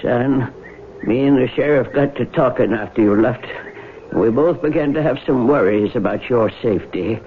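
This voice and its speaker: An actor playing a calm, reassuring, fatherly country lawyer in a 1970s radio drama. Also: mid-range voice, close to an everyday voice. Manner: measured, reassuring. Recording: muffled old radio recording, faint noise.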